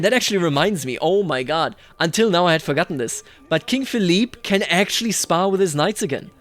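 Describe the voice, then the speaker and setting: A man's voice: sits high, a bit bright. A man hosting a gaming video, thinking aloud in a musing way as he roleplays a strategy game. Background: music.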